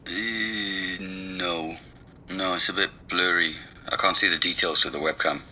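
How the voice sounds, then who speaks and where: A man's voice: deep voice, steady tone, unhurried, sharp tone, a little hiss or hum, full character voice. An actor playing a laid-back man helping a podcaster with research, asking casual questions in a naturalistic audio drama. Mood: sceptical. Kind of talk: naturalistic audio drama dialogue over a call.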